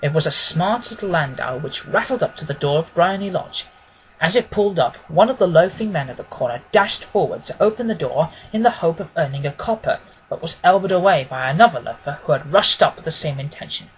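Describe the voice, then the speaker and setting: A man narrating a classic story as a scripted audiobook, in a measured, literary storytelling manner. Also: on the high side, expressive, a little hiss or hum, poor audio.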